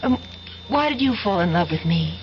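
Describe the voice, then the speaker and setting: A woman's voice: on the low side, lively intonation, moderate pace, lightly acted. An actress playing an adoring, breathless young woman student in a radio drama, with a gushing, hesitant delivery. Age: young adult. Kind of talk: 1970s radio drama acting. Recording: dull 1970s radio broadcast, music behind.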